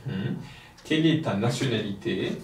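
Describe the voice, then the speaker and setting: A man runs a French drill, patiently prompting and confirming each answer. His voice sits on the low side. He is recorded from a distance in an echoey room with a faint hiss behind. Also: lively intonation, moderate pace, darkish tone. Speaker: French teacher. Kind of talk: language lesson drill. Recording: roomy, distant mic, faint noise.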